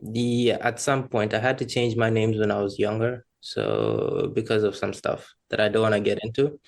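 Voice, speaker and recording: A man's voice: fairly low pitch, lively intonation, normal speed, gravelly. A man from Rwanda chatting casually as a podcast guest, candid and self-deprecating. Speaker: podcast guest, freelance creative. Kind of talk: casual personal chat.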